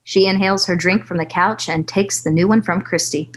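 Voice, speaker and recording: A woman narrates a screenplay at a table read in a slightly low voice. She reads out scene headings and action descriptions in a scripted narration style. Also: steady tone, fairly quick, a little hiss or hum, poor audio. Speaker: stage-direction narrator.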